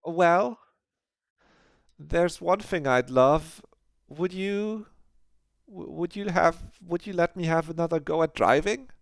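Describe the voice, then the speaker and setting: A man puts on a shy teenage girl, pitched far above his own low voice. She stammers and pushes her words out, anxious and eager to please. The reading is smooth and clear. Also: slow pace, pauses often, no room sound, studio quality. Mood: timid eager. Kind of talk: visual novel read-aloud.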